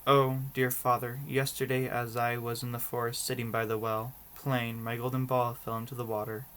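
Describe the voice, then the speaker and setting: A man's voice: medium pitch, level tone, moderate pace, fairly bright tone, close to an everyday voice. Man reading as an anxious young princess, noisy recording. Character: frightened, reluctant young princess confiding in her father. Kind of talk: storybook read-aloud.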